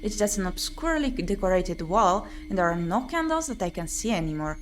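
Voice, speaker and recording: A woman streamer reading a visual novel aloud in an expressive, theatrical way as she plays through it. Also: medium pitch, fairly quick. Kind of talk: expressive read-aloud of visual novel text with playthrough commentary.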